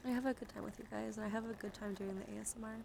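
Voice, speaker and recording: Creaky-voiced woman chatting on a noisy livestream. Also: mid-range voice, fairly even intonation, rapid-fire. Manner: informal, slangy, chatty. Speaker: livestream host, ASMR streamer. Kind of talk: casual livestream chat to viewers.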